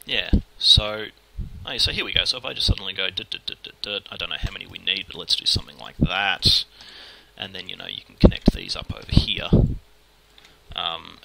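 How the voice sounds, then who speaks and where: Fast-talking Australian man, gravelly low voice. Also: noisy background. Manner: fast, informal, mutters at the software. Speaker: 3D modelling tutor. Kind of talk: screen-recorded software tutorial, thinking aloud. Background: keyboard typing and mouse clicks.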